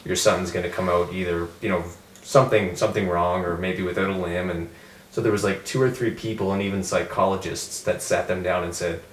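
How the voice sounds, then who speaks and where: A man's voice: low-pitched, fairly even intonation, brisk. A man earnestly sharing a personal story with a men's group. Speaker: group member. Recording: roomy, distant mic, faint noise.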